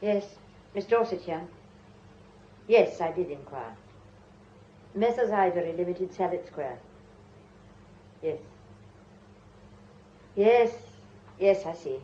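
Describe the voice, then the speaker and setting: A woman's voice: fairly low pitch, lively intonation, takes it slowly, pauses often, dark tone. An anxious, loyal British businesswoman, speaks formally and unhurriedly in a radio drama. Her words are clipped and prim. The sound is roomy and somewhat muffled, with some noise.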